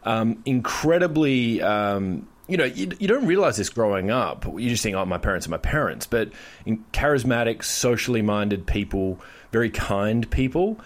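A man's voice: lowish voice, animated, moderate pace. A man in his forties with an Australian accent, telling stories about his upbringing as a podcast guest, in a chatty, joking way. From Australia (Perth). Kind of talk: casual interview chat.

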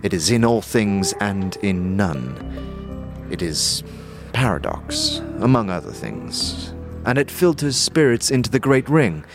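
A man voicing a patient, learned sage in a fantasy audio drama, lecturing formally and deliberately. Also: on the low side, expressive. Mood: patient, grave. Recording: music behind the voice.

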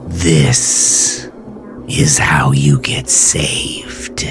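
Horror audio drama killer, voiced by a male narrator: deep, menacing, mocking, unhurried, over music. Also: fairly even intonation, exaggerated voice. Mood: grandly menacing. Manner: taunting, deliberate, cursing. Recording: music bed behind voice.

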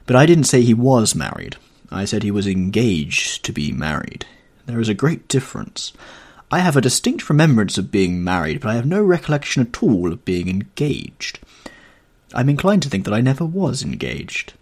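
A man's voice: medium pitch, very animated, normal speed. Man reading as a languid witty aristocrat. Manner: languid, drawling.

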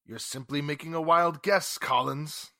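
A man's voice: a little high, fairly even intonation, a little slow, sharp tone, no echo, clean studio sound. A man acting a smug, blustering blackmailer in a 1940s-style radio drama, with a mocking, condescending manner. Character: cornered, sneering male blackmailer, smug then blustering.

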